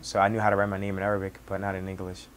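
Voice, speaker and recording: A young man in his twenties from Senegal with a Senegalese accent, Wolof his first language, telling his story as a cook in halting speech with many restarts. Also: sits low, monotone, slight background noise. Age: 20s. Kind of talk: casual personal storytelling.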